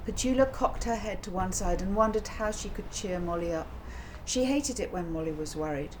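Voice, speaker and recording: A woman reading a children's story aloud as its author, in an expressive, deliberate, theatrical narrating style. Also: mid-range voice, a little hiss or hum, low-quality recording.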